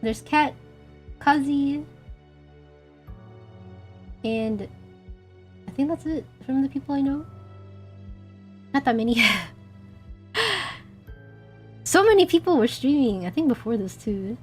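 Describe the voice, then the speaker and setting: High-voiced, hoarse, giggly woman streamer. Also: expressive, measured pace, pauses often, faint music behind. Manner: giggly, chatty, crude jokes. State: hoarse, voice tiring. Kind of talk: casual gaming stream chat.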